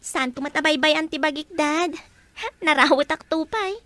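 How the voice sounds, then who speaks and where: A dutiful young college girl, played by a woman in a radio drama. She speaks sweetly in an extremely high, bright voice. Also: normal speed, no echo. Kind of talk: Filipino radio drama acting.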